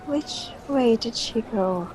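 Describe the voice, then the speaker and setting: Woman playing jumpy polite lady dodging questions in old radio drama, high voice, hesitant, bit of noise. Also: lively intonation, slow, a little mellow, poor audio. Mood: hesitant, guarded. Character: nervous, evasive well-dressed woman hiding dread.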